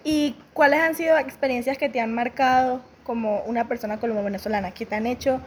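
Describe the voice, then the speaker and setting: A woman with a somewhat high voice asking gentle, probing interview questions, pausing on fillers as she shapes each one. A faint hiss of noise sits under her voice. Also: fairly even intonation.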